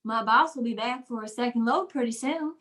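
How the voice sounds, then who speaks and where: A woman's voice: a little high, fairly quick, fairly bright tone, lightly acted. A woman voicing a folksy, chatty little male Christmas brownie with a Southern US accent, in old radio comedy style. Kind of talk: 1950s radio comedy acting.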